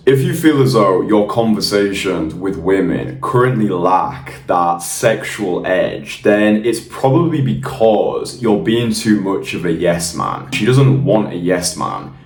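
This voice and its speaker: A young man coaching in a blunt, emphatic and confident way, swearing freely. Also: fairly low pitch. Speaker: dating coach. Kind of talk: direct-to-camera coaching monologue. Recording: roomy sound, mic at a distance.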